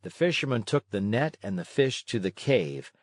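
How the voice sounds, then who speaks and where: A man's voice: fairly low pitch, sing-song, moderate pace, no echo, studio quality. A man reading a children's story aloud as a scripted narration.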